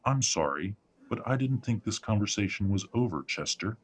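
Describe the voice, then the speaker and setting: Menacing werewolf father, acted by a man: deep, breathy, smug, deliberate audio-drama villain. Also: animated. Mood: insistent. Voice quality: husky, breathy. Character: menacing, controlling werewolf patriarch, middle-aged or older father.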